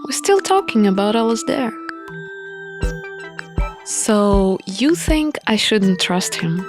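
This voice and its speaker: A woman's voice: medium pitch, very animated, slow pace, music in the background. A woman voicing a defiant, sardonic young runaway royal in a visual novel, sarcastic and challenging. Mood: questioning, skeptical.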